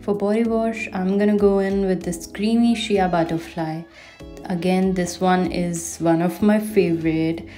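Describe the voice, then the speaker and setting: A woman's voice: on the low side, fairly even intonation, a little slow, mellow. A young woman in her twenties chatting enthusiastically through her home shower and skincare routine as a beauty vlogger. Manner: chatty, enthusiastic about products. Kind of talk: casual routine vlog voice-over. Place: bathroom at home. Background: faint music.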